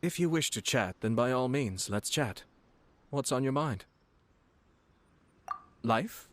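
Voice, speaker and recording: An actor playing a refined young nobleman in a video game, courteous, composed and slyly teasing, with formal, measured speech. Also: medium pitch, lively intonation, slight background noise. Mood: relaxed, courteous. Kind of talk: scripted game character voice lines. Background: background music.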